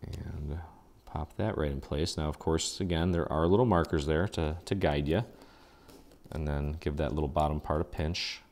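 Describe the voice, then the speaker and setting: A man hosting a casual step-by-step paper craft tutorial, chatty and relaxed, thinking aloud with fillers as he works. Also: very deep voice, moderate pace, a little hiss or hum.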